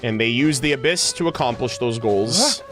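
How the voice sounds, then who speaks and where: A man's voice: medium pitch, animated, a bit bright. Rambling male livestreamer over music. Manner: rambling, halting, thinking aloud; casual swearing. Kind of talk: casual chat with stream viewers.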